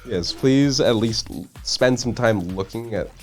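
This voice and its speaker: A man's voice: medium pitch, unhurried, faint music behind. A man co-hosting a live-streamed talk show, chatting casually, swearing a lot and filling his talk with "like". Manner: swears often, heavy use of "like". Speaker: podcast co-host. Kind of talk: casual chat.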